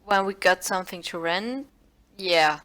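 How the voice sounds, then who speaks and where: A woman's voice: lowish voice, a little slow, a bit bright. A woman playing a blunt, sarcastic rental agency clerk in an audio play, dry and offhand. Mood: dry, bored.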